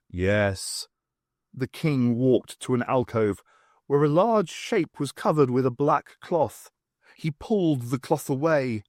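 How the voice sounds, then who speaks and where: A man narrating a comic fantasy audiobook in a wry, theatrical way with deliberate pauses. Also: medium pitch, no room sound, clean studio sound.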